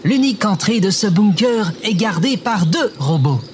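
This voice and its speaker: A woman's voice: medium pitch, lively intonation, normal speed, a little mellow, slight background noise, natural voice. A woman playing an anxious, self-pitying robot guard in a comedic audio drama, dramatic and whiny. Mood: pompous.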